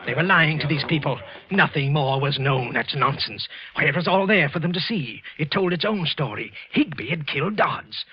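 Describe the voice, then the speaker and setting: A man's voice: a little high, animated, normal speed, few pauses, slightly rough. A man acting a nervous, resentful clerk who secretly killed, with a hesitant, evasive manner, in an old-time radio suspense play. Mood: agitated inner thoughts. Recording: muffled vintage radio recording, background music.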